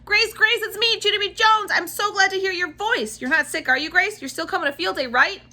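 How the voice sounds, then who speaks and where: A woman voicing an excitable, bossy kindergarten girl who talks loudly and eagerly in a storybook reading. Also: a little fast, full character voice. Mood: anxious. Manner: loud, shrill, over-the-top eager.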